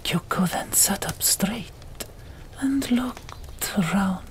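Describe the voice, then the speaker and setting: A woman narrating an audiobook in a breathy ASMR whisper, hushed and deliberate, pausing between short phrases. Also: medium pitch, lively intonation.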